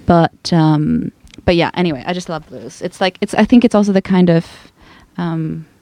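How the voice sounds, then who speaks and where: A woman's voice: lowish voice, animated, normal speed, mellow, dry recording. A woman from Sweden chatting informally in a studio, hesitant and thinking aloud as she goes. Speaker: guest singer. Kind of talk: casual studio chat.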